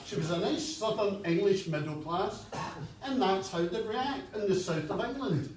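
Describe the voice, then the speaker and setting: A man's voice: sits high, lively intonation, normal speed, darkish tone. A man with a Scottish accent, making a chatty, anecdotal comment from the floor of a meeting. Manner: chatty, anecdotal, laughs at himself. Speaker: audience member. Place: meeting room at a conference fringe event. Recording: echoey room, distant, faint noise.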